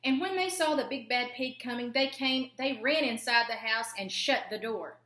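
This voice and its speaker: A woman's voice: fairly high pitch, moderate pace, sharp tone, reverberant. A woman reading a storybook aloud to children in a theatrical, emphatic way. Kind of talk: expressive read-aloud narration for children.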